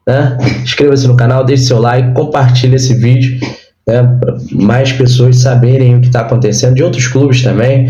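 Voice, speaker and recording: Hoarse Brazilian man, roomy dull sound. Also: medium pitch, mellow. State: hoarse, sore throat. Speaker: football channel host and radio announcer. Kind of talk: casual sports commentary vlog.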